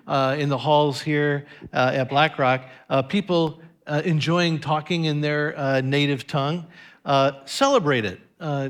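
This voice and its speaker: A man, a pastor, interviewing a church member on stage, talking hesitantly with many "uh" fillers. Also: a little high.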